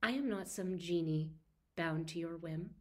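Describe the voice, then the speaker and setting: A woman voicing a proud, ancient male god of darkness in an imperious, deliberate storybook reading. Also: on the low side, darkish tone. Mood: cold defiance.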